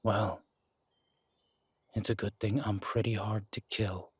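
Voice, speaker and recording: Unhurried husky tough guy in an audio drama, played by a man, calm and sure of himself, low voice. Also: no room sound, clean studio sound, full character voice. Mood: assured. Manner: calm, self-assured, reassuring. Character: confident easygoing adult man, hard to kill.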